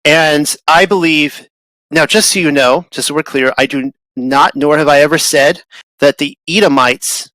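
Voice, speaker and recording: A man's voice: on the high side, a little slow, a bit bright, dry recording, rough recording. A man talking in a casual, rambling way, often restarting and repeating words as a guest. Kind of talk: casual conversational talk.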